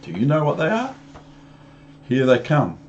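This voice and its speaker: A man's voice: medium pitch, wildly swooping pitch, a little slow, highs cut off, slight background noise. A man with a New Zealand accent reading a storybook to young children, rambling into chatty asides and questions for the listener. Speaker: storybook reader.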